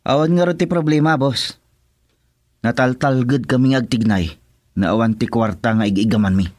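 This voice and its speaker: A man's voice: mid-range voice, normal speed, a little mellow, dry recording, clean studio sound, close to an everyday voice. An actor playing an eager, deferential henchman in a radio drama, with a Filipino accent. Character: eager, loyal male henchman of a crime boss.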